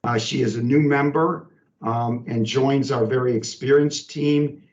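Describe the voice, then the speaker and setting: A man making staff announcements on a work conference call in a halting, deliberate way. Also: medium pitch, fairly even intonation, darkish tone. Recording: echoey, distant, dull conference call.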